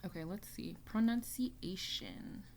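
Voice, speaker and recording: A woman's voice: mid-range voice, slow, vocal fry, darkish tone, a little hiss or hum. A young woman chatting casually as she reacts to a music video, rambling with lots of fillers. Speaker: reaction YouTuber.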